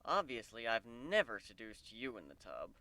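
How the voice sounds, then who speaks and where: A man playing an earnest, devoted male pegasus guard in a story reading, gentle and hesitant in manner. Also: huge pitch swings, moderate pace, no echo. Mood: fond, teasing. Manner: hesitant, low-key, tender.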